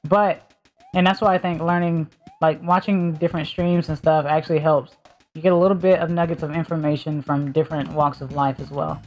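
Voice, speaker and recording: A woman's voice: low voice, steady tone, brisk, a little mellow, faint music behind. A woman with a mild Southern US accent, chatting casually on a stream and rambling as she thinks aloud with lots of fillers. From Southern United States.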